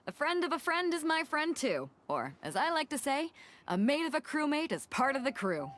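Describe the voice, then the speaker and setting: A woman's voice: high-pitched, sing-song, moderate pace, fairly bright tone. A woman playing a bold, hearty sea captain in a video game, talking casually and sociably. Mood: friendly.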